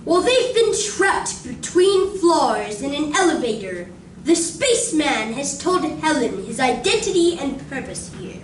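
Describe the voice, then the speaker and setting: A woman narrating a radio drama in a theatrical, deliberate scripted style. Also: high voice, very animated. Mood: solemn, dramatic. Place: library community room, live performance. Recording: echoey community room, distant, noisy.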